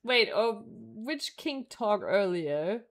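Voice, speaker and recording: Female live gameplay streamer, mid-pitched, leisurely pace, informal chat, thinks aloud. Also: sing-song, slow. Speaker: game streamer. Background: game music.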